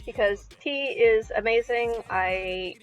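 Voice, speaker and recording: A woman's voice: a little high, steady tone, slow pace, highs cut off, soft background music. A woman hosting a podcast live stream over a call, rambling and giggly as she talks to her chat.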